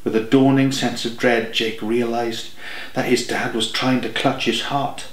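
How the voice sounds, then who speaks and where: A man's voice: mid-range voice, level tone, measured pace, roomy sound. A man narrating an audiobook in a scripted, dramatic storytelling style.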